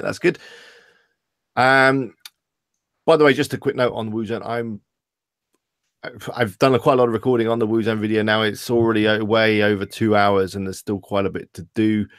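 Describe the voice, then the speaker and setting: A man's voice: fairly low pitch, dry recording, studio quality. A man hosting a casual livestream Q&A, chatting and reading out viewers' questions. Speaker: livestream host.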